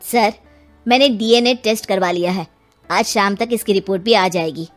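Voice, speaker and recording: A woman's voice: medium pitch, moderate pace, faint music behind, natural voice. A woman voicing an earnest, respectful male police inspector in an acted story. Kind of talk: acted Hindi audio story dialogue.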